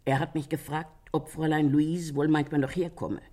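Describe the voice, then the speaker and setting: A woman's voice: low-pitched, steady tone, normal speed, warm tone. An actress playing a chatty, candid female witness in a German radio crime drama. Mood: earnest recounting.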